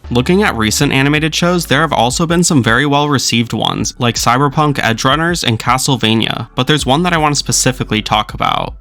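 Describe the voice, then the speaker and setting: Bright-voiced man narrating a video essay. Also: mid-range voice, a little fast, few pauses, no echo.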